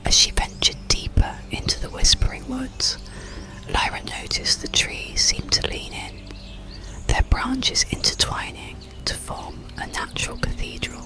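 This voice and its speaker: A whispered bedtime story narrator, soothing and deliberate. The voice moves slowly through each phrase, with a creaky edge under the breathy hush. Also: high-pitched, huge pitch swings. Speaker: audiobook storyteller.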